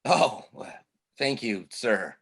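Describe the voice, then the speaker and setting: A man acts out a timid, eager-to-please intern in a stage comedy. He speaks slowly at a medium pitch, deadpan and hesitant, taking everything literally and deferring politely. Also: gravelly, breathy edge, heavily acted. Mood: meek, puzzled. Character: meek, literal-minded, eager-to-please male office intern. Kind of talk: comedy play table reading. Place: remote reading over a video call.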